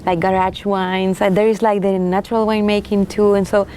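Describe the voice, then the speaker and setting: A young Chilean woman, a winemaker, speaking unhurriedly and haltingly in an oral history interview with soft laughs. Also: mid-range voice, level tone, a little hiss or hum. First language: Spanish.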